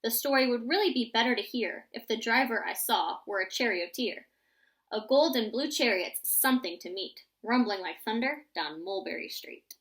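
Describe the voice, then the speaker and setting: A woman voicing an imaginative, excitable young boy who spins tall tales in a rhyming storybook. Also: fairly high pitch, moderate pace. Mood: musing, excited.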